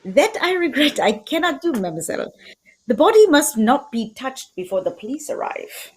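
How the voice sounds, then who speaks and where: A woman's voice: sits high, very animated, moderate pace, darkish tone, slightly rough, heavily acted. A woman acting a polite, fussy Belgian male detective with a French accent and formal, theatrical delivery, in radio mystery style. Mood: brisk, formal.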